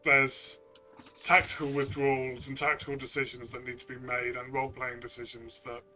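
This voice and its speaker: A man's voice: mid-range voice, level tone, moderate pace, pushed and bright, faint music behind. A man talking conversationally as a co-reviewer, giving a player's view in a review discussion.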